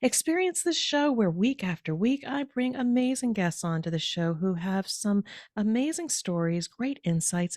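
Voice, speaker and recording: A woman's voice: medium pitch, a little mellow, dry recording, clean studio sound. A woman hosting her podcast, introducing a guest and asking questions in an upbeat, emphatic way. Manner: upbeat, emphatic host delivery. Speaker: podcast host. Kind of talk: podcast host introduction and interview.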